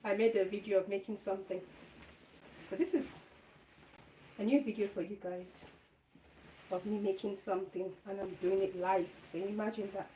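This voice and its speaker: A woman talks through her sewing live at home, her voice hoarse and croaky. The room sounds echoey, and a faint hiss of noise runs underneath her. She chats away to herself and the viewers as she works. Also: mid-range voice, steady tone, moderate pace, long pauses, dark tone. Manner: chatty, talks to herself while working. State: hoarse, lost voice. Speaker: sewing vlogger livestreaming. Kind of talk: casual live chat while sewing. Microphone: phone or camera set up nearby.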